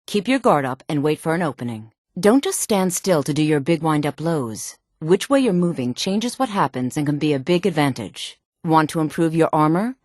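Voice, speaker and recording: A self-assured, sultry woman from a fantasy video game. Her voice sits low and is recorded very dry. She speaks with measured poise and a haughty edge, like someone used to being obeyed. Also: animated, a little mellow, dry recording. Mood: firm, instructive. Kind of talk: video game character dialogue.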